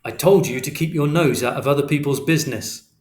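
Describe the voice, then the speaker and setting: A man voicing an exasperated middle-aged father who scolds and shouts. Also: mid-range voice, normal speed, close to an everyday voice. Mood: angry. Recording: roomy echo.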